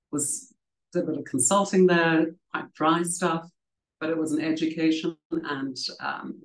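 A middle-aged woman, raised in South Africa, telling her career story at a book festival in a conversational, reflective way with frequent ums and restarts, speaking over a video call from home. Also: low-pitched. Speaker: author and leadership coach. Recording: roomy video call audio.

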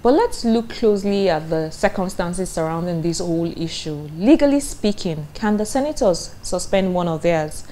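A woman's voice: fairly low pitch, expressive, moderate pace, hardly any pauses, warm tone, a little hiss or hum. A woman in her thirties with a Nigerian accent, presenting and interviewing for a television news programme. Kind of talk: scripted news narration and interview.

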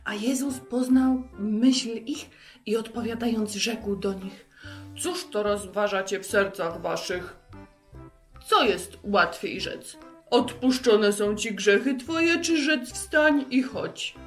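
A woman presents Bible stories to children in an average-pitched voice. She reads with dramatic expression, like a storyteller, over faint music. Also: slow pace. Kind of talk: expressive scripture reading and talk to children.

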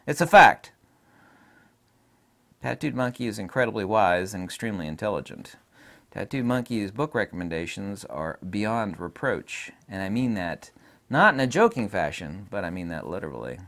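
A middle-aged man hosting a casual book-recommendation talk from his home studio desk, emphatic and opinionated, his voice a little deep from allergies, on a lapel mic. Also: sing-song, normal speed, fairly bright tone. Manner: emphatic and opinionated, trails off with fillers. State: voice deepened by allergies. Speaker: book reviewer and channel host.

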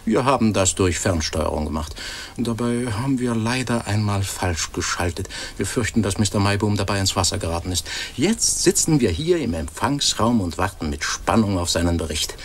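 A man's voice: on the low side, animated, moderate pace, a little hiss or hum. An actor playing a jovial, impatient male newsroom boss in a German radio play. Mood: solemn reporting.

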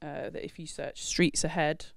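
A woman's voice: deep voice, flat delivery, normal speed, vocal fry, dry recording. A woman in her thirties co-hosting a casual chat show, chatty and teasing, speaking into a handheld mic in a studio. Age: 30s. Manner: chatty, teasing, laughs often. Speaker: podcast co-host. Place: red studio set, indoors.

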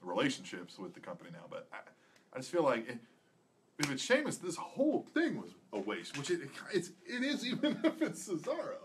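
A man's voice: on the high side, huge pitch swings, fairly quick, a little hiss or hum. A young adult man co-hosting a podcast, talking casually as he gives his predictions. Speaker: podcast co-host. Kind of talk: casual wrestling predictions chat. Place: small indoor studio with acoustic foam panels.

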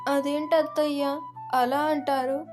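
A woman's voice: sits high, flat delivery, slow, over music, voice pushed up. A woman voicing a polite, meek young daughter-in-law with a respectful manner in a moral story. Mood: hurt, pleading.

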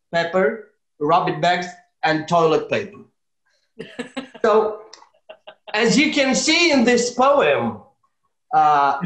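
A middle-aged man from Romania with a Romanian accent, doing deadpan stand-up comedy, chuckling at his own jokes, recorded on a webcam in a small room at home. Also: high voice. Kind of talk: deadpan stand-up comedy and comic song at piano. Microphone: webcam at desk distance. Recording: roomy echo, piano behind.

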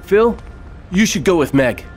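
Young heroic strongman, earnest and kindly, fairly high voice, lively delivery. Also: measured pace, faint music behind. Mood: concerned. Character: brave, kindly young muscular Greek hero. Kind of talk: animated game cutscene acting.

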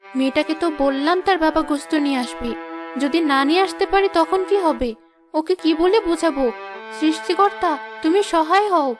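A woman's voice: high voice, fairly quick, music in the background, natural voice. A woman voicing a poor village mother in a cartoon, tender and anxious, with a coaxing, fretful manner. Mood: worried. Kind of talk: Bengali moral-story cartoon dubbing.

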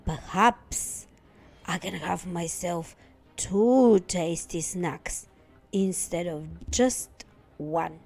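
A woman's voice: on the low side, drawn-out words, vocal fry, a little mellow, faint music behind, full character voice. A woman voicing a ferocious, scheming male storybook wolf, sly and savouring every word. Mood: sly, hungry. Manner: sly, savouring his words. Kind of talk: storybook character voice.

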